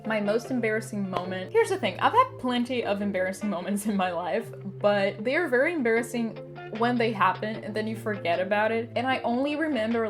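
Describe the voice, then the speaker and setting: A young woman from Brazil with a Brazilian Portuguese accent, chatting casually and cheerfully through viewer questions, often saying "like". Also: mid-range voice. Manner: chatty, upbeat, frequent "like" fillers. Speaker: vlogger. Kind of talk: casual Q&A chat.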